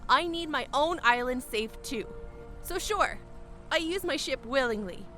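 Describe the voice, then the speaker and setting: A woman's voice: sits high, lively intonation, unhurried, bright, forceful tone, soft background music, exaggerated voice. A woman playing a confident, breezy young airship pilot with a casual, self-assured manner in a fantasy audio drama. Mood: frank, determined.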